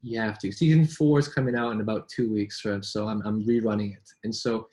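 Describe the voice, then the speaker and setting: A man's voice: fairly low pitch, steady tone, fairly quick, warm tone. A man, born in New York and raised in Vancouver, talking casually as a business English coach, with frequent restarts and rhetorical questions. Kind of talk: casual conversation between colleagues.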